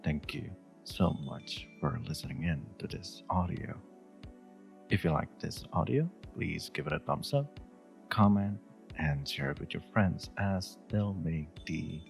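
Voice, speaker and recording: Male audio creator for a casual scripted sign-off, very deep voice with some fry. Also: animated, slow, faint music behind. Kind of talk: casual scripted channel outro.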